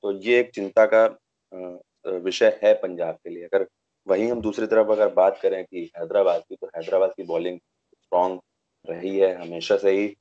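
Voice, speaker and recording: A man's voice: low-pitched, steady tone, moderate pace, warm tone. A young man hosting a cricket discussion in a conversational way, hesitating and searching for words, over a video call. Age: young adult. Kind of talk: conversational sports commentary. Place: indoors, small room, video call. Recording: dull lo-fi video call audio.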